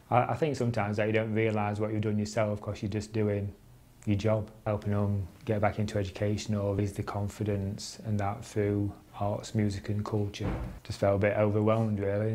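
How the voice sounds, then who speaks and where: A man's voice: lowish voice, warm tone. A man giving an informal, modest and reflective interview answer. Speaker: interviewee, youth worker.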